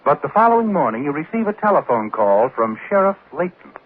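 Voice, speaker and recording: An actor playing a sinister, insinuating narrator of a 1940s radio thriller, on a thin old recording. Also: male voice, fairly high pitch, big pitch swings, brisk, phone-quality sound. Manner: insinuating, sardonic, second-person address. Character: sinister, knowing omniscient male narrator.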